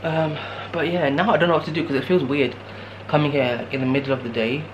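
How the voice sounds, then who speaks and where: A man's voice: on the high side, steady tone, fast. A young man in his twenties vlogging solo while traveling, talking casually and rambling to a handheld camera. He is worn out and has a sore throat. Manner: rambling, tired, talks to camera in fillers. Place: guesthouse room indoors, later noisy narrow street at night. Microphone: camera held at arm's length. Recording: handheld camera with clear background noise.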